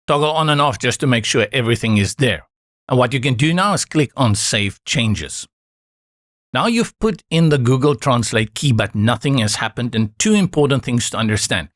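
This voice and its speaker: A man whose first language is Afrikaans, calmly guiding viewers step by step through a software setup in a screen-recorded tutorial. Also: medium pitch, no echo, studio quality. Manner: calm, instructive, reassuring asides.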